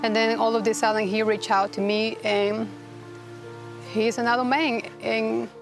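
A woman from Rica, a guest telling her story in a halting, earnest way with many restarts. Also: on the high side, flat delivery, over music. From Costa Rica. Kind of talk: personal testimony interview.

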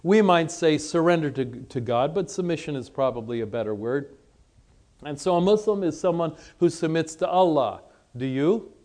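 A man's voice: fairly high pitch, animated, normal speed, a little mellow. A man who grew up in Lebanon presenting an informal religious seminar in a conversational, self-deprecating way. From Lebanon, born in Egypt to missionary parents. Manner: conversational, self-deprecating, addresses listeners with questions. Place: conference seminar room with audience.

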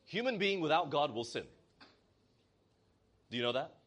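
Animated male preacher calling for amens. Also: a little high, moderate pace. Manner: lively preaching, often calls to the congregation for amen; reads scripture aloud in old-style wording. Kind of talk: sermon.

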